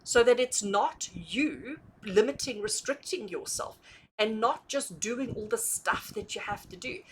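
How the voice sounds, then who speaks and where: A woman's voice: on the high side, animated, unhurried, low-quality recording. Chatty tarot reader lady, really up-and-down delivery, keeps rephrasing and asking questions, bit of noise behind her. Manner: emphatic, repeats and rephrases points, often checks in with rhetorical questions. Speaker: tarot reader hosting a reading. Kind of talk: conversational, intuitive tarot reading addressed to viewers.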